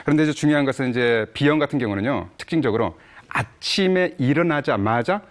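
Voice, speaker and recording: A man explains a health condition step by step, as a medical guest on a TV morning program. His voice sits at a middle pitch and he moves along quickly. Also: animated. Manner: measured, explanatory.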